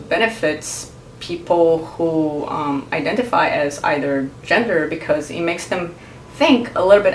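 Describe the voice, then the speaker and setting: A woman, a Portuguese speaker, explaining her views to camera in a thoughtful, earnest way and pausing to search for words. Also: sits low, far from the mic, slight background noise. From Brazil. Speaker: vlogger. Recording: roomy echo, mic set back.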